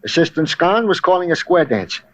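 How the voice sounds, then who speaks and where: A comic police sergeant in an old radio crime show, with a high-pitched voice. He is eager and chatty, reporting in folksy, ungrammatical phrases. The recording is muffled. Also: big pitch swings, normal speed. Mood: eager, matter-of-fact. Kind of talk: 1950s radio drama acting. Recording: dull vintage radio recording.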